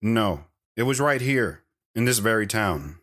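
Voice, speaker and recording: A man's voice: on the low side, lively intonation, a bit bright. An actor playing a world-weary, polite wandering man, cryptic and reflective, in an audio drama. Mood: wistful. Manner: measured, reflective, reserved.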